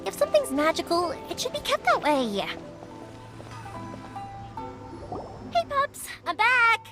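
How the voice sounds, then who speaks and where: A woman voicing a big-hearted, cheerful young fireworks maker in a video game, chatty, earnest and expressive. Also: very high-pitched, normal speed, over music. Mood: wistful storytelling, then breezy, cheerful.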